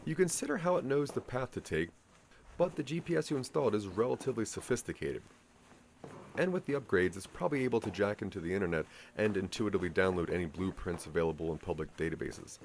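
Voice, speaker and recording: A man narrates an audio drama with expressive, scripted storytelling. His voice sits on the low side and swings widely in pitch. There is some noise behind him. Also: fast.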